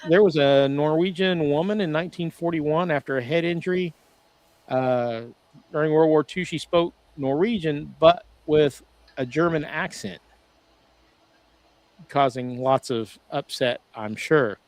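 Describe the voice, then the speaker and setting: A man co-hosting a casual podcast discussion over a video call, talking in a rambling, halting way with lots of "uh" restarts. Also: on the high side, a little mellow, no echo, poor audio. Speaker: podcast co-host.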